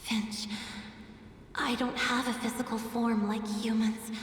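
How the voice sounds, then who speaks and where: A woman's voice: a little high, level tone, takes it slowly, slight background noise. A woman voicing an ageless female water spirit in a game, speaking tenderly and earnestly with a lyrical, poetic delivery. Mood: soft, solemn. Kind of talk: dramatic poetic game voice acting.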